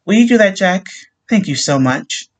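A man voicing a grieving young wife who is sweetly persuasive, soft and overly polite. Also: high-pitched, expressive, normal speed, voice pushed up. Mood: coaxing.

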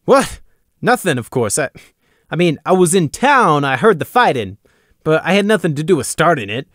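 A man's voice: high-pitched, big pitch swings, no room sound, clean studio sound, voice pushed up. A man doing a nervous defensive pony suspect. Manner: defensive, quick, protesting.

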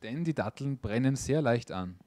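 Guy interpreting a cooking class, steady and plain, dry sound. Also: medium pitch, moderate pace, no room sound. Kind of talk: consecutive interpreting into German.

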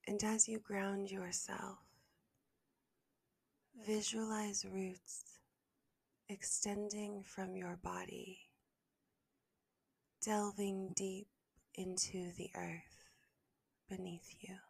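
A woman leading a guided meditation as host, speaking softly and gently in a breathy half-whisper with long, soothing pauses. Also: mid-range voice, flat delivery, no echo.

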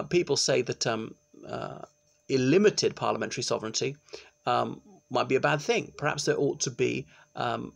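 A middle-aged man, giving an informal law lecture to his webcam in a small room and often pausing with ums. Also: mid-range voice, vocal fry, fairly bright tone. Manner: chatty, explanatory, with frequent ums and restarts. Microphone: laptop webcam, close framing.

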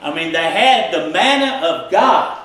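A middle-aged man preaching in a small church, his fairly high voice bouncing around a very echoey room. He talks in a folksy, animated way, heard through a headset mic. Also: measured pace. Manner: folksy, animated, gesturing widely while preaching. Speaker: pastor. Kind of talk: sermon.

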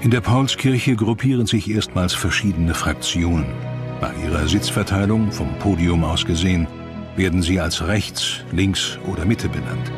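A man with a deep voice narrates a historical documentary. His delivery is scripted, and music runs clearly beneath his words. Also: moderate pace.

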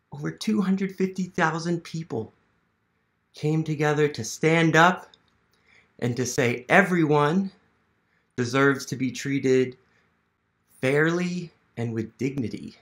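An American man in his thirties hosting a children's read-aloud as an educator, asking questions and encouraging young listeners. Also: fairly high pitch. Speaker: museum literacy educator hosting a children's storytime. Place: small living room at home, indoors.

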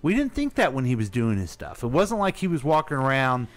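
Male co-host for an informal radio talk show, conversational with a varied, lively pitch, light music underneath. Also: a little fast. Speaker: radio co-host asking questions. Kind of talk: casual radio chat.

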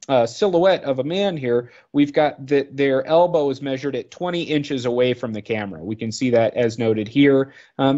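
A man's voice: medium pitch, darkish tone. Man presenting informally, slightly muffled audio. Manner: conversational, explanatory, thinking aloud. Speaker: product trainer. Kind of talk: informal slide presentation narration.

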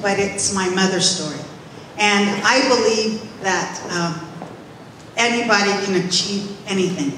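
A loud, lively woman tells a personal story on stage into a handheld mic. The recording comes from a distant camera in a large hall, with heavy echo and a long reverb tail. A faint hum of room noise sits underneath. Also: mid-range voice. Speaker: restaurant owner speaking at event. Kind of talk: personal story speech to audience. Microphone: handheld microphone, far camera. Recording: echoey hall from far off.

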